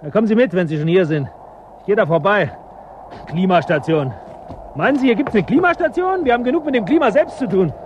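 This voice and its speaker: Radio drama: gruff suspicious townsman, fast and mocking, dull recording with outdoor noise. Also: high voice, animated. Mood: brusque. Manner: brusque, mocking. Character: gruff, suspicious local man in an icy storm.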